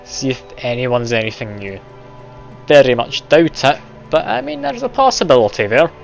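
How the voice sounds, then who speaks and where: A man's voice: mid-range voice, big pitch swings, normal speed, creaky. A man streaming a game live, chatty and self-deprecating, laughing often as he plays. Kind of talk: casual live game commentary. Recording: dull lo-fi stream audio, game music behind.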